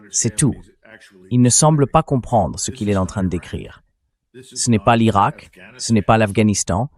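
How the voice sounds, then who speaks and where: Low-voiced man giving emphatic political commentary. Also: darkish tone. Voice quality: AI-generated translation voice. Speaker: interview guest, commentator.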